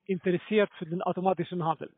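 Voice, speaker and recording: Man, very high voice, polite, chatty, hesitant, narrow phone line. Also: fairly even intonation, fast, husky, dry recording. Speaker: call-centre sales agent. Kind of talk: polite sales phone call.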